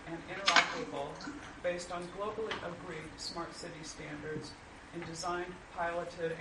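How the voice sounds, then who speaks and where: A panelist speaking in a measured, deliberate way at a roundtable discussion. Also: female voice, on the low side. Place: conference room. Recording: echoey room, distant mic, loud background noise.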